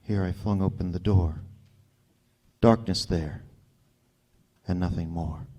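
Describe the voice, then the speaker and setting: A man giving a theatrical, suspenseful poetry recitation with deliberate pauses, recorded live on stage. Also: deep voice, dark tone, dull audio, slight background noise. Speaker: performer reading poetry.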